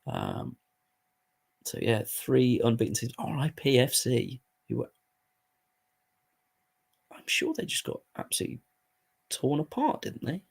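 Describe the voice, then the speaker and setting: A hoarse man giving casual, sweary commentary while playing a football management game. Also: mid-range voice, wildly swooping pitch, measured pace, long pauses. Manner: swears freely, muttering asides while playing. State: hoarse, voice going. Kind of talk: casual live gaming commentary.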